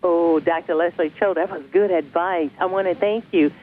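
A woman's voice: fairly low pitch, fairly even intonation, moderate pace. A woman hosting a broadcast interview, welcoming her guest effusively and asking questions. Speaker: talk show host. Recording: phone-like lo-fi line with faint noise.

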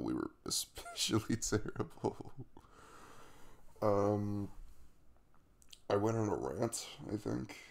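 Male actor as drowsy, tender young man for intimate audio roleplay: low, very lively intonation, hesitant and casual. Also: vocal fry, heavily acted. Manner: hesitant, casual, chuckling mumble. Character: sleepy, caring young man waking in his crush's bed. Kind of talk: intimate ASMR boyfriend roleplay.

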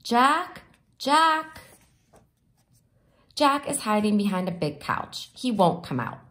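Animated woman reading a picture book aloud. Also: medium pitch. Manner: animated, pausing to explain pictures. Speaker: storybook reader.

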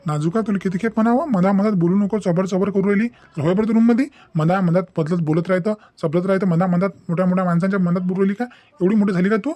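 A man's voice: sits high, fast talker, mellow, dry recording, close to an everyday voice. A man playing an indignant, principled young son in a cartoon, lecturing emphatically in a Vidarbha Maharashtrian dialect. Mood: scolding. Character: indignant, principled young adult son defying his mother. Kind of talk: comic family drama cartoon dubbing.

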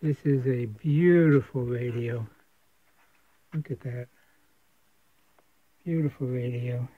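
A man giving a casual hands-on demonstration as a radio restorer, explaining things in a halting way. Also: mid-range voice, dry recording. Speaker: radio restorer presenting a repair. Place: indoor home electronics workshop. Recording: tinny, phone-like band-limited sound.

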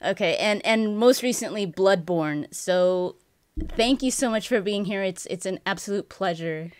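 A woman's voice: mid-range voice, measured pace, smooth, no echo, studio quality. Upbeat young woman hosting a video-call interview. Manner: friendly, upbeat, stumbles and restarts questions. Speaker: interview host. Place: home room with bookshelves.

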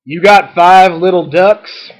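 A man's voice: high-pitched, takes it slowly, poor audio. A man voicing a blunt, businesslike policeman in a comic storybook tale. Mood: blunt official.

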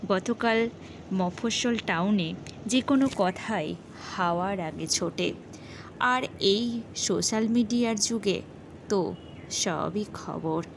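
A woman narrates a horror story at a very slow pace. Her delivery is dramatic and measured, with pauses between phrases, and her pitch is average. Faint noise sits behind the voice.

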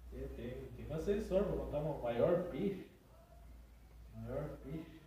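A man's voice: medium pitch, animated, takes it slowly, dark tone, far from the mic. A young man, a shop owner talking eagerly about fishing. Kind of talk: casual interview. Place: fishing tackle shop, indoors. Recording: dull echoey sound from afar.

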